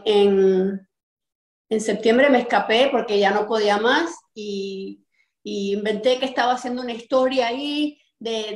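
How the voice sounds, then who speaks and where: A middle-aged Cuban American woman from Miami answering interview questions conversationally over a video call in an echoey room. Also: on the high side. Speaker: interview guest, artist and activist. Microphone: video call, sitting back from the camera.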